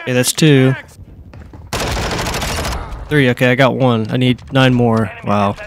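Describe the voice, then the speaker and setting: Young male streamer, nasal from a cold, casual and reactive, mid-pitched, heavy gunfire behind. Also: a little slow, background noise. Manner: reactive, swings from mutters to excited shouts. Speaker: gaming streamer. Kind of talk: casual gameplay commentary. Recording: voice over loud gunfire game audio.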